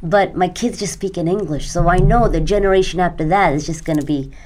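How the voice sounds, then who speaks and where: A woman's voice: on the low side, brisk, darkish tone, a little hiss or hum, poor audio. A middle-aged woman from India, a native Gujarati speaker, answering earnestly as an interview guest in a conversational way. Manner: earnest spiritual talk. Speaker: podcast guest.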